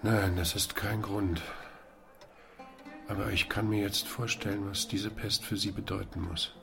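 A man playing a calm, resolute volunteer organiser in a German radio play, with a deliberate, questioning delivery. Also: on the low side, creaky, over music. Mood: thoughtful.

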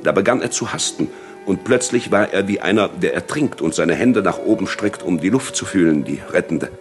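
A man narrates literary prose with drama. His voice sits very low yet moves widely in pitch. Music plays faintly along with the reading. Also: expressive, normal speed.

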